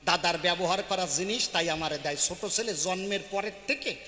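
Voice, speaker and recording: A man's voice: sits high, a little fast, bright, forceful tone. A man telling comic stories on stage, conversational, repeating phrases and checking in with listeners. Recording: very echoey hall, faint noise.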